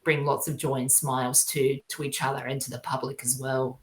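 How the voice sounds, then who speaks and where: A woman chatting casually as a podcast guest, halting and often repeating her phrases. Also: very deep voice, level tone. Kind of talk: casual chat. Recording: roomy, echoey sound.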